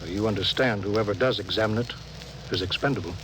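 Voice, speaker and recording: An actor playing a stern, authoritative starship captain in a 1950s radio drama, speaking in a clipped, commanding way. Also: male voice, lowish voice, brisk, a bit bright, close to an everyday voice. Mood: grave. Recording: noisy old 1950s radio broadcast.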